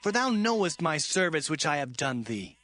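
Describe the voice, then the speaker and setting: A man's voice: a little high, big pitch swings, normal speed, pushed and bright. A man playing an earnest, resolute biblical herdsman in a dramatised audio Bible, declaiming emphatically.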